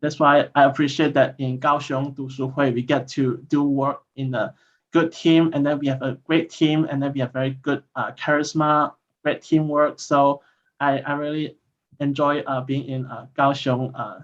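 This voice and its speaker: A man's voice: mid-range voice, flat delivery, moderate pace, highs cut off. A man whose first language is Mandarin, sharing at an online meeting, hesitant and full of restarts and fillers. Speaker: volunteer speaker.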